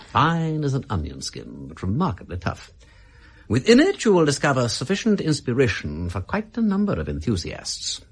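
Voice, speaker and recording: A sly gentleman adventurer in a British radio drama. His husky voice swoops extravagantly as he mocks and teases, savouring each ornate phrase with languid relish. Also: mid-range voice, full character voice. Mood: theatrical. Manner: arch, languid, teasing, flourishing turns of phrase. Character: witty, mocking gentleman adventurer posing as a shady informer.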